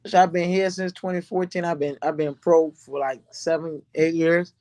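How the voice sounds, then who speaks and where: A professional boxer from Barbados answering interview questions in a soft-spoken, halting way, with fillers and repeats. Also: male voice, on the high side, steady tone, no echo.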